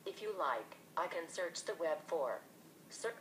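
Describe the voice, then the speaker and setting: A woman's voice: lowish voice, a little slow, slight background noise. Polite synthetic female phone assistant. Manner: polite, even, scripted replies.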